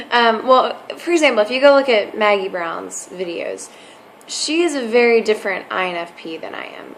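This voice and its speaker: A hoarse young woman muses aloud in a casual vlog, thinking as she talks and circling back with many ums and restarts. Her pitch sits in the middle of the female range, with faint noise behind her. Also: a bit bright, rough recording. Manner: musing, lots of fillers and restarts. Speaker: vlogger. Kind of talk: casual explanatory monologue.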